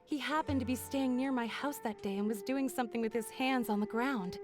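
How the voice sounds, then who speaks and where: A woman's voice: on the high side, a little fast, darkish tone, soft background music, close to an everyday voice. A woman playing a friendly, earnest and dramatic villager in a fantasy video game, speaking expressively. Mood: grateful.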